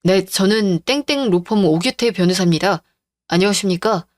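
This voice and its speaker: A formal male lawyer in a radio drama, voiced by a woman lowering her pitch. He speaks in a polite, measured, businesslike way, and the recording is dry. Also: dry recording, natural voice.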